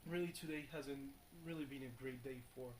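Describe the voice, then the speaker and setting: Booming-voiced young man. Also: steady tone, darkish tone, a little hiss or hum, poor audio. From France. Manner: halting, many fillers and restarts, casual swearing. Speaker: vlogger. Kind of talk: rambling casual vlog chat. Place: small bedroom with reverb.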